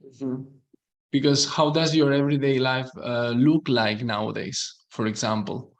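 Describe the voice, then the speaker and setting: A man's voice: mid-range voice, fairly even intonation, takes it slowly. A man, a language coach, hosting an interview and encouraging his guest with frequent short affirmations.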